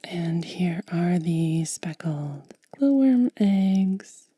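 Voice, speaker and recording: A woman doing soft-spoken ASMR, mostly whispering in a gentle, breathy, casual way as she narrates a hands-on demonstration close to the mic. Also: lowish voice, animated, no room sound. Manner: gentle, unhurried, casual commentary. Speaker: ASMR video creator and host. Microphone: close mic, dry.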